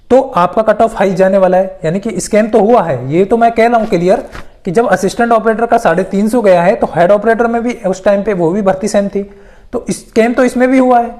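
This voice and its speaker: A presenter speaks at a medium pitch in an informal explainer style. The delivery is emphatic, circling back over key points for exam candidates, with English terms mixed in throughout. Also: a little mellow. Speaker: presenter of exam news updates.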